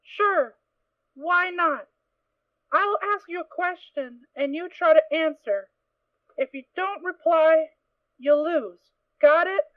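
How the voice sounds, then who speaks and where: A woman's voice: extremely high pitch, takes it slowly, no room sound. A woman playing a wary, tough unicorn mercenary, talking in a guarded, commanding way. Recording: tinny phone-like, dry sound.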